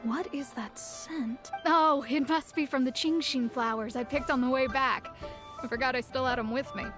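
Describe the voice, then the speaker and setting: A woman's voice: high voice, fairly even intonation, normal speed, close to an everyday voice. A woman playing a reserved, matter-of-fact young woman in a fantasy video game. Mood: musing. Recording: music behind the voice.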